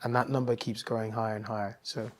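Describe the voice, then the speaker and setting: A man from Nigeria, a guest in a casual interview, talking thoughtfully with many pauses and restarts. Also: fairly low pitch, flat delivery.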